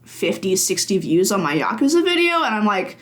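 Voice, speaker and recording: A young woman in her early twenties talks at an ordinary pitch, easygoing and rambling. She laughs often and leans on loose fillers as she chats as a podcast guest. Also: big pitch swings, brisk, fairly bright tone. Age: early 20s. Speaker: podcast guest, games journalist. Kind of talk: casual chat.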